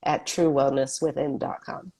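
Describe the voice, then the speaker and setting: Woman, low-pitched, sniffly from a cold, upbeat guest. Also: fairly even intonation, moderate pace, dark tone, breathy edge. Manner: upbeat, promotional. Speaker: health coach, guest. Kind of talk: casual interview chat.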